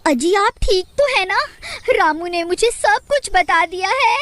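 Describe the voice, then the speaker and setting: A woman's voice: very high-pitched, expressive, a little slow, fairly bright tone, pitched above the performer's own voice, heavily acted. A woman voicing a concerned village farmer's wife in a Hindi cartoon, with an anxious, questioning manner.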